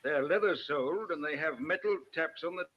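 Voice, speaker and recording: A man's voice: on the high side, fairly quick, breathy edge. A man playing an earnest, precise police aide reporting evidence in an old-time radio drama. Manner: factual, emphatic reporting. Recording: dull, band-limited old radio.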